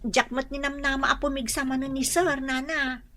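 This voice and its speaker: A young woman with an Ilocano accent playing a house helper in a radio drama, answering briskly and respectfully. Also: high-pitched, flat delivery, sharp tone. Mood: reassuring. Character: eager young maid in a big house.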